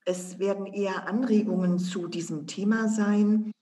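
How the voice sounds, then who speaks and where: A woman's voice: mid-range voice, steady tone, moderate pace, mellow. Formal German woman hosting a podcast. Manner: formal, addresses listeners directly. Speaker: podcast co-host. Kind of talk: scripted podcast moderation.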